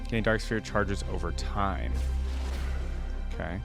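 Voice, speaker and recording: A man's voice: low voice, takes it slowly, gravelly, over music. A man hosting a reaction video, giving casual, chatty, enthusiastic fan commentary in informal language.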